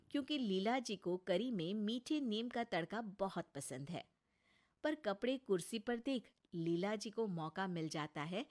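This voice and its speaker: A woman narrating a scripted story as a storyteller. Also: mid-range voice.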